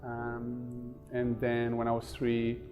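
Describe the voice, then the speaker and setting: A man from Portugal introducing himself slowly and haltingly, with fillers. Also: medium pitch, completely flat delivery, soft background music. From Portugal, raised partly in Spain. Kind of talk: casual self-introduction. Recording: dull lo-fi sound.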